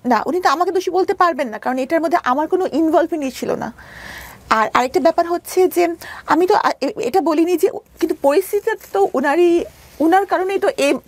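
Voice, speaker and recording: A woman's voice: very high voice, brisk, dry recording. A woman with a Bangladeshi accent telling her personal story as an interview guest, emphatic and insistent, often repeating her points.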